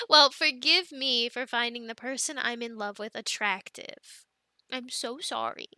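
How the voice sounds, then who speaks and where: Woman acting as young girlfriend, very bright voice, fairly high, unhurried, affectionate and playful, casual roleplay, close dry sound. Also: no echo, heavily acted. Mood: teasing, flustered. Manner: giggly, hesitant, chatty with fillers and pauses.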